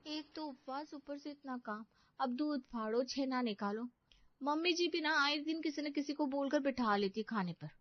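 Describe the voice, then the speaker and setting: A woman voicing a young, quick-witted daughter-in-law in a family story, complaining and glib in manner. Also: high-pitched, no room sound, dull audio, natural voice. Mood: grumbling, sulky.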